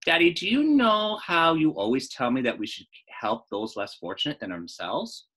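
A man's voice: medium pitch, sing-song, moderate pace, bright, forceful tone, husky, pitched above the performer's own voice, full character voice. Man reading as an earnest pleading young girl. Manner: polite, insistent.

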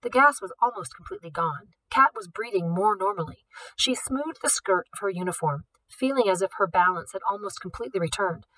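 A woman reading a novel aloud, steady and expressive. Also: medium pitch, brisk, poor audio. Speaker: audiobook reader.

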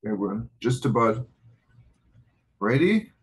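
A man's voice: mid-range voice, big pitch swings, takes it slowly. A man hosting a live show over a video call, giving informal announcements to the audience. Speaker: host. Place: home room, indoors.

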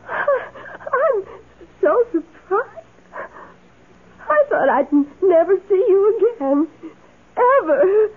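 An actress playing a meek, lonely, adoring maid in a radio drama, speaking in a timid, halting, wistful way. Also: female voice, very high-pitched, expressive, full character voice. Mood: flustered, adoring. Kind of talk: old radio drama acting. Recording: tinny, hissy old radio recording.

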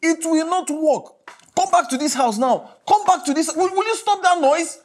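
A man's voice: high voice, lively intonation, moderate pace, darkish tone, slightly rough. A male actor with a Nigerian accent playing a harried, exasperated father in a screen drama, repeating himself as he pleads and scolds. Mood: angry. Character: harried, exasperated father struggling alone with his children. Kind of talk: naturalistic screen drama acting.

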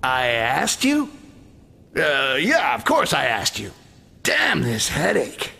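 An actor playing a cynical goblin thief in a video game, with a gravelly voice and a dry, sardonic manner. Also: male voice, medium pitch, huge pitch swings, slow pace, pushed and bright, heavily acted. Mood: groggy, irritated.

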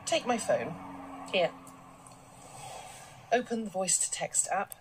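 A self-assured, domineering businesswoman. Her mid-pitched voice swings expressively as she commands and talks down in management jargon. It is naturalistic radio drama with slight background noise. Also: big pitch swings, slow. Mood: brisk. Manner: commanding, condescending, jargon-heavy. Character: brisk, domineering, self-assured wealthy female business boss.

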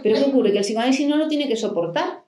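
A woman's voice: high voice, very fast, dark tone. A middle-aged woman psychoanalyst giving a lecture into a handheld mic, explaining and answering with rhetorical questions. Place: library hall with a desk and plants. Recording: echoey hall.

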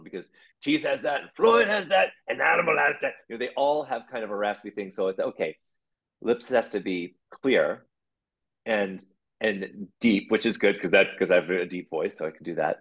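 A man, a puppeteer, talking casually as a guest, rambling with restarts and quick laughs. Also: fairly low pitch, creaky, a bit bright, husky. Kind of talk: casual interview chat.

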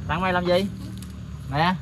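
High-pitched man, short offhand banter, noisy outdoor roadside audio. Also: fairly even intonation, moderate pace. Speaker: travel companion. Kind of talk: casual banter.

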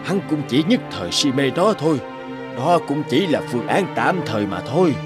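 A man's voice: medium pitch, sing-song, normal speed, natural voice. Guy playing cartoon royal minister, formal n pleading, bowing and scheming, music in back. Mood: persuasive, grave. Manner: formal, persuasive. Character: deferential scheming court chancellor bearing grave news. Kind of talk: cartoon folk tale dialogue.

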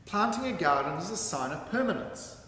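A man reading a sermon aloud from a script in a deliberate, emphatic way, standing at a microphone in a large hall. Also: high-pitched, lively intonation, sharp tone. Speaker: sermon reader. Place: large hall with curtained stage, indoors. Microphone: microphone on a stand at a lectern. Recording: very echoey hall with some background noise.

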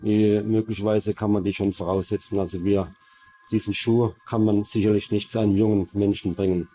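A male investigator speaking unscripted in a documentary interview, halting and rephrasing as he goes. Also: on the low side, flat delivery, dark tone, faint music behind, low-quality recording.

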